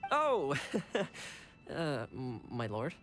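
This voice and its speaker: A woman's voice: extremely low pitch, huge pitch swings, drawn-out words, fairly bright tone, soft background music, exaggerated voice. An actor, a woman, playing a wry, deferential retainer to a lord in a video game. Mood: wry, flustered.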